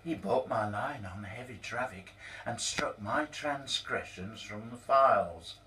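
A man's voice: lowish voice, expressive, measured pace, bright, forceful tone, far from the mic, slight background noise, rough recording. A man voicing a young female office worker, speaking plainly in her part. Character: young woman worker, glum then relieved.

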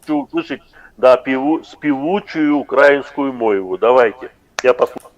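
A man's voice: mid-range voice, moderate pace. A man in a heated video chat argument, pushy and lecturing, talking over the other side. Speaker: chat roulette stranger.

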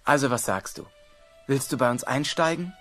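A man voicing a worried, kindly turtle who leads a family in an animated film, earnest and hesitant. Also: medium pitch, moderate pace. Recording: faint music behind the voice.

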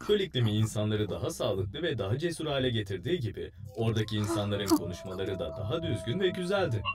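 A man's voice: fairly low pitch, big pitch swings, quick pace. A man playing a showy television quiz host in a dubbed cartoon, announcing theatrically to build suspense. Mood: presenting. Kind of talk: quiz show presenting in a cartoon dub.

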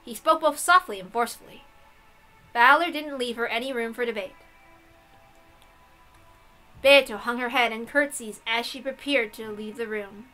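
A woman's voice: fairly high pitch, brisk, pushed and bright, soft background music, low-quality recording. A woman narrating a visual novel aloud, with casual asides between the scripted lines. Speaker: reader and narrator.

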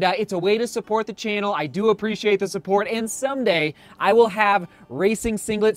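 A man's voice: sits high, a bit bright. A man in his thirties, a running vlogger, talking casually and upbeat to a camera held at arm's length. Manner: upbeat, chatty, thinking aloud. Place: mountain summit, car, shop, indoor room. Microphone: action camera at arm's length.

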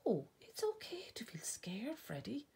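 Woman reading as a soothing, breathy Irish nana. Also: on the high side, huge pitch swings, whispered, pitched above the performer's own voice, full character voice. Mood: reassuring. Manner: slow, gentle, coaxing. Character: kind, soothing old grandmother teaching a young boy.

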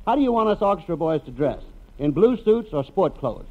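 Fast, high-voiced brash bandsman. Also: very animated. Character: brash, cheerful band pianist on the phone. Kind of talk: 1950s radio comedy acting. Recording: thin, tinny old radio phone call.

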